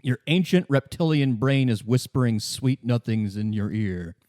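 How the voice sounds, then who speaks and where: A man's voice: mid-range voice, normal speed, a little mellow, no room sound, clean studio sound. A man narrating a tabletop role-play as game master in a conversational style.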